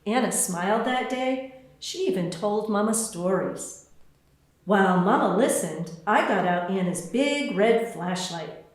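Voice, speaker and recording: A woman author reading her children's picture book aloud in an expressive, deliberate storytelling way, with spaced phrases. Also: medium pitch. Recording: very echoey room.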